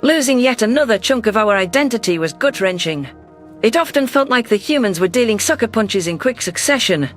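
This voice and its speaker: A man reads a science fiction audio story as its narrator. His voice sits very high for a man, and soft music plays underneath. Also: animated, a little fast. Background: faint music.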